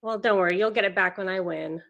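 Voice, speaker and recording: A woman's voice: mid-range voice, quick pace, creaky, a bit bright, lo-fi sound. A woman acting a tough, swaggering young patient in a screenplay table read, blunt and sardonic. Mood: bossy.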